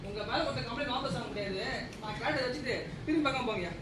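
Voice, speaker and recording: A man's voice: very high voice, very fast, over a noisy crowd. A man acting in a comic stage play as a pompous, nitpicking householder, scolding and exasperated. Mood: smug, dismissive. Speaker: stage actor. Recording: distant mics, echoey hall, noisy.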